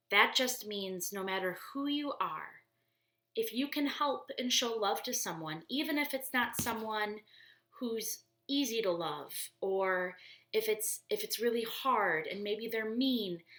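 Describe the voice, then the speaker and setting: A woman reading a children's story aloud expressively, deliberately, explaining things in simple words. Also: medium pitch, fairly bright tone. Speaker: storybook reader for church children. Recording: roomy, echoey indoor sound.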